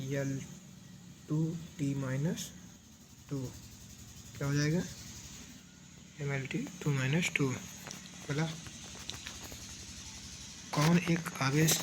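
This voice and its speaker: A man's voice: mid-range voice, noisy background. A man teaching an exam revision lesson in question-and-answer form, didactic, asking questions and repeating the answers.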